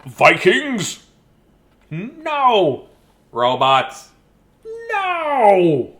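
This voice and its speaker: An exasperated, self-important storybook narrator acted out by a man, whiny and insistent. The voice leaps up and down with bright, forceful protest. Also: high voice, huge pitch swings, very slow, voice pushed up, heavily acted. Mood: indignant.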